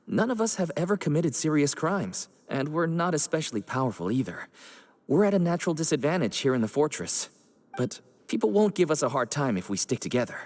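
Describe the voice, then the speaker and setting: A man's voice: fairly high pitch, very animated, a little fast. A man voicing a friendly, earnest prison inmate in a video game, explaining things patiently. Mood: earnest, proud. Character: friendly, earnest prison inmate recruiting for a mutual aid group. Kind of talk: video game character voice acting.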